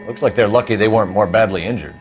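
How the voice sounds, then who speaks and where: An actor playing an earnest, straight-laced 1940s police detective in a video game, asking terse, businesslike questions. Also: male voice, lowish voice, fast talker, music in the background, natural voice.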